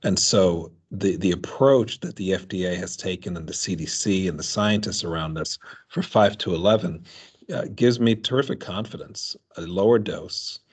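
A man's voice: sits low, moderate pace, slightly rough. A man speaking as a physician panelist on a video call, giving an explanatory answer. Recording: dull video-call audio.

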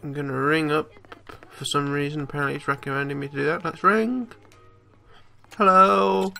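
A man's voice: on the high side, steady tone, normal speed, gravelly, pushed and bright, faint music behind. A man giving casual, jokey gameplay commentary, sweary and reacting aloud as he plays. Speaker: gamer, let's play host.

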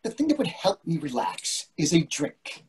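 A man's voice: on the high side, wildly swooping pitch, normal speed, darkish tone, a little hiss or hum. An older man playing an irritable, impatient husband on vacation in a comedy play reading, curt and defensive. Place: indoors on a video call. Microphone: video call microphone.

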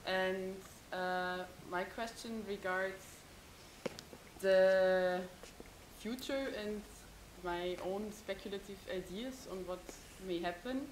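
A woman's voice: medium pitch, steady tone, slow. A young woman student in the audience of a lecture hall, asking a long question with many hesitant fillers. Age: young adult. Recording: roomy lecture hall with faint hiss.

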